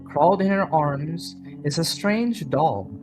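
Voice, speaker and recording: A man narrates a dark audio drama at a very slow, deliberate pace. His pitch moves expressively as he paints each scene with dramatic weight. Music plays softly behind his voice. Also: expressive. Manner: measured, dramatic storytelling delivery. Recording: background music under the voice.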